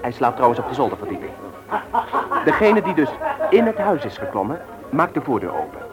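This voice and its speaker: An actor playing a cocksure, bossy young ringleader in a radio play, instructing with firm emphasis. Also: male voice, medium pitch, very animated, fairly quick, over loud music, lightly acted, low-quality recording. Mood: confident, instructing.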